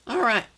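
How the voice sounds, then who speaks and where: A middle-aged woman with a slightly croaky voice, hosting a live art journaling session and chatting casually while she works with her hands. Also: sharp tone. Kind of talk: live craft demonstration.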